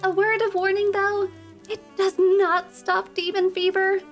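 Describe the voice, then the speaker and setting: A woman playing an earnest, idealistic, excitable young female adventurer in a fantasy roleplay, with a slightly nasal voice. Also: very high-pitched, unhurried, soft background music, voice pushed up. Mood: warm, confiding. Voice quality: nasal from a cold. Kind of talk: roleplay audio drama, cute.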